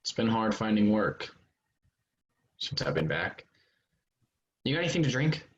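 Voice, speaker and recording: A man's voice: on the low side, very animated, unhurried. A man playing a controlling, insinuating ex-soldier, casual and pressing, in a play reading with dull call audio. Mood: downcast. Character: insinuating, controlling ex-soldier, abusive former partner. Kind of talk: staged play reading over video call.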